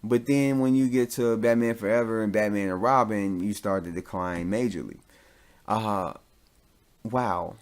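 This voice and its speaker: A man with a fairly low voice talks as a podcast host. He rambles through his opinions casually, thinking aloud in loose, informal language with the odd bit of swearing. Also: darkish tone.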